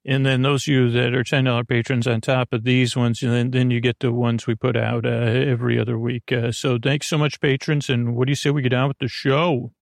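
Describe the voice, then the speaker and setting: A man from the United States hosting a bedtime podcast in a creaky voice, meandering through halting, repetitive tangents. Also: mid-range voice, little pitch movement, no echo. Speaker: podcast host. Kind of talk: rambling bedtime sleep podcast monologue.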